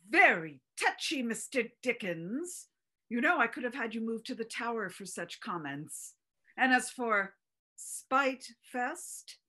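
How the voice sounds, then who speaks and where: An actress playing a haughty, prim lady who scolds in a slow, reproving way. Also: a little high, sharp tone, full character voice.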